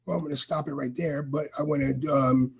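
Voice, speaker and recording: A man's voice: on the high side, fairly even intonation, fairly quick, slightly rough. A man from New York with a New York accent, rambling animatedly in a casual chat as a podcast guest.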